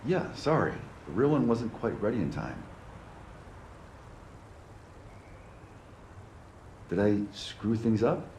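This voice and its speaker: Awkward young boyfriend for a game; lowish voice, casual, sheepish, roomy echo with music behind. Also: male voice, normal speed, slight background noise. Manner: casual, sheepish, lively swings in pitch. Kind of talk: English video game dub acting.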